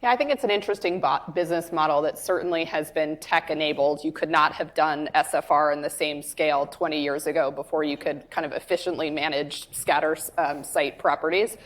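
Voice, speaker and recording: A woman's voice: low-pitched, steady tone, fairly quick, hardly any pauses. A woman on a conference panel, talking through market risks analytically and thinking aloud.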